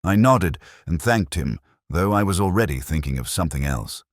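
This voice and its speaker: A man voicing a melancholy older retired police inspector, narrating a literary detective drama in a measured, wistful, erudite way with wry touches. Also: deep voice, animated, dry recording, clean studio sound. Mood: wistful, brooding. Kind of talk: literary first-person detective drama monologue.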